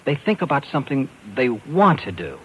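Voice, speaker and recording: Animated, determined American talent agent. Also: male voice, medium pitch, moderate pace, low-quality recording. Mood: skeptical, calming. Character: determined, brisk New York talent agent man, narrator. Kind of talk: 1950s radio drama acting and narration. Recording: hissy old radio recording.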